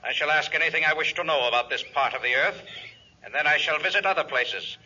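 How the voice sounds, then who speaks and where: An actor playing an arrogant visitor from outer space in a 1950s radio serial, giving orders in stilted, formal speech. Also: male voice, a little high, fast talker, bright, forceful tone, exaggerated voice. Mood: imperious. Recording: dull, raspy 1950s radio recording.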